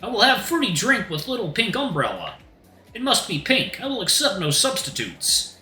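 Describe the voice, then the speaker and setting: Man acting as old crow, stoic, formal, deliberate delivery, higher pitch, bright tone, storytelling. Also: huge pitch swings, roomy sound, faint music behind, exaggerated voice. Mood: dry, deliberate. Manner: formal, deliberate, drops articles. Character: stoic, courtly old male crow fighter.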